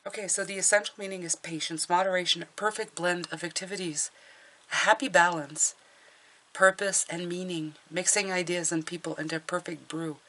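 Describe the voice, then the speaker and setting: A middle-aged woman with a hoarse voice from a cold, chatting casually through a tarot reading with fillers and restarts. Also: lowish voice, fairly even intonation, a little fast, bright, forceful tone, rough recording. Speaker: tarot reader.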